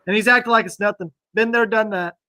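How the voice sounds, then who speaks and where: A man's voice: high voice, steady tone, fast talker, bright, forceful tone, no echo. A man giving casual, conversational reactions.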